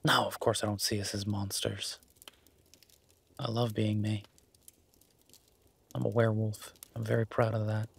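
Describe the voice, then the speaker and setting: A tender, laid-back werewolf boyfriend, voiced by a man for intimate roleplay audio. He speaks slowly in a husky, breathy murmur, leaving long gentle pauses and sounding deeply content. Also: level tone, exaggerated voice. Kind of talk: intimate roleplay audio, slow soft conversational acting.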